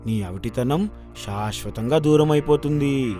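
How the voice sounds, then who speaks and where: A man's voice: mid-range voice, unhurried, dark tone, soft background music, close to an everyday voice. A man voicing a scheming, bullying deer in a children's cartoon, pushy and insistent. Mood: urging. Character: scheming, bullying deer goading a lion to kill. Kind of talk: animated children's story acting.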